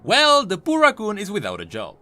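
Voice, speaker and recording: A male video host delivers game commentary over background music. His voice is fairly high and bright, with extremely lively pitch swings from phrase to phrase. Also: brisk. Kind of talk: scripted commentary on game voice lines.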